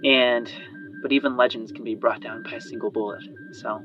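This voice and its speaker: A man's voice: medium pitch, steady tone, moderate pace, natural voice. A man as an eager, rambling female inventor, muffled. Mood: subdued, worried. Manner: hesitant, rambling, trailing off and sighing. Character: eager, chatty female inventor, worried and loyal. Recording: muffled band-limited audio under music.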